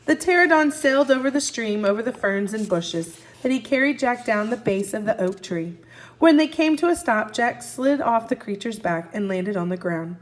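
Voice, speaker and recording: A woman with a fairly high voice reads a children's book aloud as a teacher would to a young class. Her delivery is expressive, and she steps in to recap and put questions to her listeners. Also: normal speed, rough recording. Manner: expressive, asks listeners questions and answers for them. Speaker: first grade teacher. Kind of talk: children's read-aloud, recapping and narrating a story.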